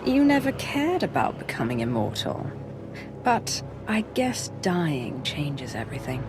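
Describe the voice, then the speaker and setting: A woman's voice: low-pitched, wildly swooping pitch, measured pace, over music, exaggerated voice. An actress playing a proud, sceptical Sith warrior woman in a video game, assertive and pointed in her questioning. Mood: proud, defiant.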